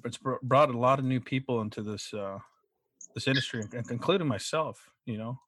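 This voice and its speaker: A young man in casual chat, speaking haltingly with many restarts and frequent throat clearing. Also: medium pitch, a bit bright, no echo.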